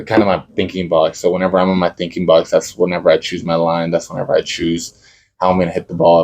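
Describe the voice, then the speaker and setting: A young man, a college football kicker from Dallas whose first language is Spanish, answering interview questions casually and searching for words. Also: deep voice. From Dallas, Texas. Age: young adult. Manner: halting, searching for words with many fillers.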